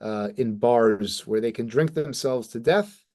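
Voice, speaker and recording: A man co-hosting a casual talk about comic books, speaking as an excited fan who swears mildly. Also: mid-range voice, unhurried. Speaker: podcast co-host. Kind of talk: casual enthusiastic comic book discussion.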